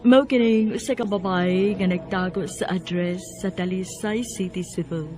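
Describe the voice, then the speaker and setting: A woman's voice: mid-range voice, moderate pace, a little mellow. A woman with a Cebuano accent reading scripted narration as the announcer of a radio drama. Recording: faint music underneath.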